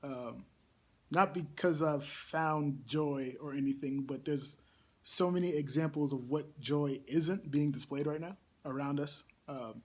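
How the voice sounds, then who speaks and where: A young man with a somewhat higher, husky voice speaks in a casual interview. He reflects slowly and openly, often stopping with an um to gather his thoughts. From Barbados. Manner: thoughtful, pauses with frequent ums. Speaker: campus ministry engagement coordinator.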